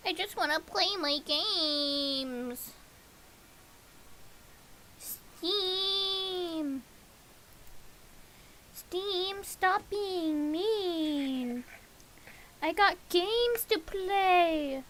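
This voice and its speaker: A woman's voice: extremely high pitch, drawn-out words, pauses often, a bit bright. A woman livestreamer chatting casually and playfully to herself, slipping into sing-song babble. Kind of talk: casual livestream chat while setting up games. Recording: clear background hiss.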